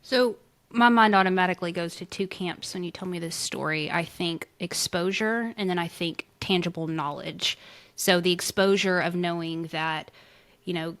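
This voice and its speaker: A woman's voice: lowish voice, moderate pace, fairly bright tone. A woman hosting a casual interview podcast, talking in a folksy, chummy way. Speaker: podcast host interviewing a guest.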